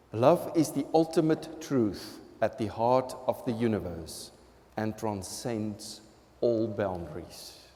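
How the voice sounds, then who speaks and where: South African pastor in echoing church. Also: male voice, medium pitch, dark tone. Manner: earnest, conversational, laughs at his own jokes. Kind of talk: sermon with open questions from the congregation. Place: church sanctuary. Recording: long hall reverb in a church.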